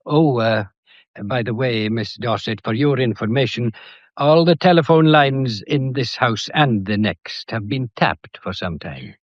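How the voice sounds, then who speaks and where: An actor playing a genial but firm, shrewd Scottish police inspector in a radio drama, folksy, unhurried and authoritative. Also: male voice, mid-range voice, lively intonation, no echo, highs cut off. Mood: polite, firm.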